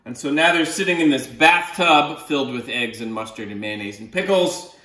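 A man's voice: medium pitch, moderate pace, bright, forceful tone. A middle-aged man, a children's author, reading a picture book aloud expressively, with explanatory asides, in a living room. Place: small living room, indoors on a sofa. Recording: roomy living-room echo.

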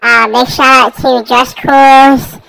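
High altered voice, voice changer, heavy vocal fry, slangy gaming commentary, repeats phrases. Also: level tone, slow pace, bright, forceful tone, low-quality recording. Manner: repetitive, slangy, rambling. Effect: voice changer raising the voice. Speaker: gaming YouTuber, host. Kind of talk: casual gameplay commentary.

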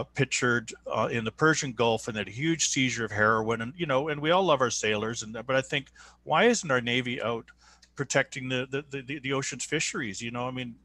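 A man's voice: medium pitch, fairly bright tone. A Canadian man hosting an online discussion, introducing his guest with many fillers and restarts over a video call. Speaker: host.

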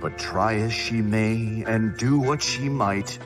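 A man's voice: lowish voice, slow. A man playing a whimsical, grand storybook narrator, telling a rhymed Christmas tale in a cartoon. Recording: loud music under the voice.

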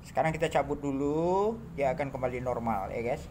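A man narrating an informal hands-on demo, halting and muttering to himself as he works, recorded indoors on a handheld phone. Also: medium pitch, a little mellow, slight background noise. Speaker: hobbyist electronics builder demonstrating circuits. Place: indoor home workbench.

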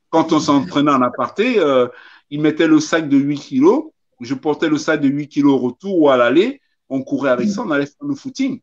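A man in his fifties, a former footballer, talking emphatically as a guest on a video call and repeating his points. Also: medium pitch. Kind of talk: casual interview on a video call. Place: indoors, small room. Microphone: phone or webcam on a video call. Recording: slightly dull video-call audio.

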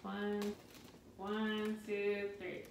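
Creaky-voiced woman in a noisy room. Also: medium pitch, monotone, drawn-out words, distant mic. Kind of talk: casual chat while taking photos. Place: living room at home. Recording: noisy echoey room, away from mic.

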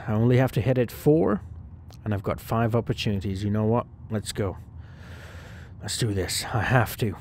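A man's voice: medium pitch, a little mellow. A man hosting a gaming video, narrating a sports career story in the first person as a hopeful young cricketer, talking himself through it. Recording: clear background noise and ambience.

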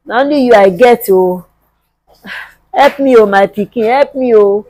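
Woman acting a hungry mother, Nigerian accent, film drama, fairly high voice, plaintive, repetitive pleading, drawn-out wails. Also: mellow, a little hiss or hum, close to an everyday voice, poor audio. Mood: weary pleading. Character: pleading, hungry, long-suffering mother dependent on others.

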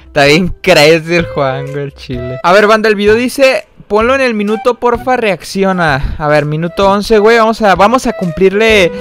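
A man's voice: high voice, expressive, normal speed, hardly any pauses, a bit bright. A young man with a Mexican accent chatting casually through a reaction video, full of slang fillers. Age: young adult. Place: small room indoors. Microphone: desk mic on a boom arm, headphones on.

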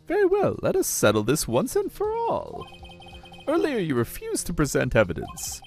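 A man's voice: fairly high pitch, huge pitch swings, moderate pace, darkish tone, soft background music, voice pushed up. A man voicing a solemn elderly courtroom judge in a video game, speaking in formal pronouncements. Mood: resolute. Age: older.

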